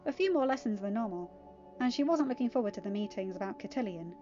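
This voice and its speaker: A woman's voice: mid-range voice, lively intonation, quick pace, dark tone, lo-fi sound, soft background music. A woman narrating fan fiction as an amateur audiobook reader.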